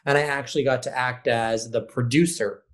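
A man of medium pitch speaks as a theater director being interviewed. His delivery is conversational and animated, and he slips into little bits of acted-out dialogue as he explains. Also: moderate pace. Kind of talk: casual interview talk.

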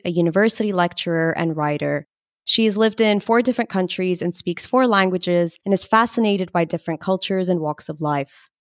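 A woman's voice: lowish voice, no room sound, clean studio sound. A young adult woman hosting and producing a podcast, moving from a scripted introduction into an earnest, enthusiastic interview.

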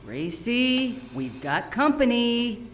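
A woman's voice: on the high side, sing-song, slow, slight background noise. Casting: playful female accomplice, swooping intonation, audio drama with faint background ambience. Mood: wry, alert. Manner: playful, teasing. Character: cheeky, mischievous female sidekick with powers.

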